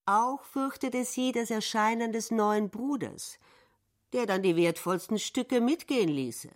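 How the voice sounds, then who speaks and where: Bedächtige Hörspielerzählerin. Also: female voice, a little high, expressive, a little slow. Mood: deliberate. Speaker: narrator. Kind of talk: scripted literary radio drama reading.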